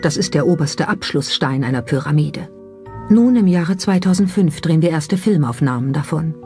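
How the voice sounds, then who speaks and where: Documentary narrator, medium pitch, scripted delivery over prominent music. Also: lively intonation, moderate pace, darkish tone. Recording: music behind the voice. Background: background music.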